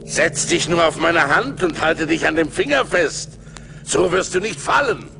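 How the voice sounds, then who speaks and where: An actor playing a sinister giant forest spirit in a radio play, coaxing and mocking in a slow, theatrical, creaky voice. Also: male voice, fairly high pitch. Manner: slow, theatrical, wheedling and scornful. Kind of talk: German radio play acting. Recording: 1980s vinyl radio play with music.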